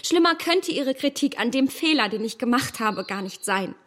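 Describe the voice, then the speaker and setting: An actress in a radio play as a self-possessed young gentlewoman, measured and gently reproving. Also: sits high, expressive. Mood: earnest reproach. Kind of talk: radio drama acting.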